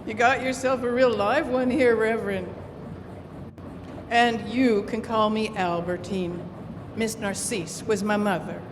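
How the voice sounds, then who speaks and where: A woman's voice: on the high side, fairly even intonation, close to an everyday voice. A woman playing a worldly, defiant saloon keeper in an old-time radio drama, with a wry, folksy manner. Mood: teasing, brash. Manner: folksy, drawn-out, sardonic. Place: live stage staged as a radio studio. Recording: reverberant hall with clear background noise.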